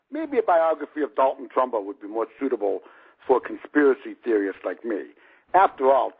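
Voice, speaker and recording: A man's voice: mid-range voice, breathy edge. A man in his fifties, an author reading his own book prologue aloud in a wry, conversational manner. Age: 50s. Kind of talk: audiobook narration of a personal prologue. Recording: muffled, lo-fi radio-like sound.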